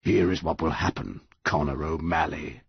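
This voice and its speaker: A man's voice: rumbling low, steady tone, gravelly, slightly rough, no room sound, muffled recording, exaggerated voice. A man voicing an ancient roaring tree monster. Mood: stern. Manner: slow, grand, commanding. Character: ancient towering male tree monster, imperious.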